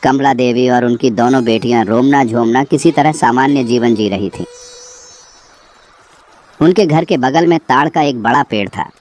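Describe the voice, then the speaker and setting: A man's voice: medium pitch, normal speed, darkish tone, slight background noise. A man reading a scripted horror story as narrator.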